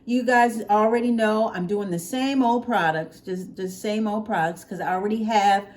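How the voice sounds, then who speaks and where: Expressive American woman chatting casually. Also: medium pitch, moderate pace. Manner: folksy, expressive, repeats phrases for emphasis. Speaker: hair care vlogger. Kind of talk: casual chat to viewers. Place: open-plan living room at home.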